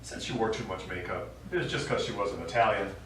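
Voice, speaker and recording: A man's voice: on the low side, fairly quick, fairly bright tone, close to an everyday voice. Man playing an old widower father, echoey stage. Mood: nostalgic, wry. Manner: wry, rambling, reminiscing. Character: elderly Italian-American widower father, war veteran, stubborn reminiscing storyteller. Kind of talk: stage play acting. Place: small theatre stage, recorded from the audience. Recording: echoey small theatre, distant mic, faint noise.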